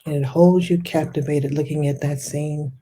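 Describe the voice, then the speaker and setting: A meditation guide leading a guided visualization in a soft, soothing, unhurried way, lingering on descriptive words. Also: medium pitch, steady tone, breathy edge.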